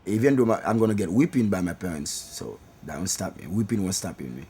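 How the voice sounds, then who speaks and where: A man's voice: sits low, lively intonation, fairly quick, dark tone, husky. A middle-aged man from Haiti with a Haitian accent, reflective and loose with fillers as he talks in a documentary interview. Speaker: rara band member.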